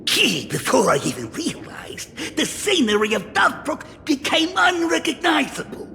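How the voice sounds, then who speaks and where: A sly, aging gang boss from a video game, boastful and theatrical. The voice is high and swoops wildly between mutters and exclamations. It rings with long reverb over music. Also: wildly swooping pitch, normal speed, gravelly, bright, forceful tone, slight background noise, exaggerated voice. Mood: theatrical nostalgia.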